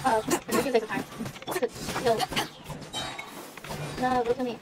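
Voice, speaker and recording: A woman's voice: on the high side, level tone, unhurried, very noisy. A woman giving casual gameplay commentary, cooing over and cheering on her characters. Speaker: gamer commentating a playthrough. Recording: game music loud behind voice. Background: loud video game music.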